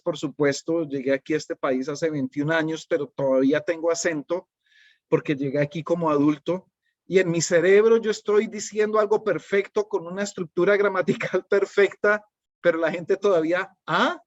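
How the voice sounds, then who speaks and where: A middle-aged man with a Colombian accent presents a teaching webinar in a calm, unhurried way and often checks in with his listeners. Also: on the high side, no echo. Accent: Colombian Spanish. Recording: dull, dry, lo-fi webinar audio.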